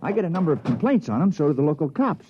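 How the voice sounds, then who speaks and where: An actor playing an easygoing, folksy resident agent on a jungle planet in a 1950s radio drama. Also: male voice, fairly high pitch, sing-song, rapid-fire, noisy background. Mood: hesitant, puzzled. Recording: muffled 1950s radio broadcast.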